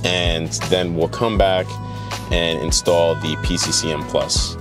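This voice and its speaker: A man's voice: low-pitched, steady tone, unhurried. A young American man talking casually to the camera as a car vlogger. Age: young adult. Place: inside a parked car, later a garage. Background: background music.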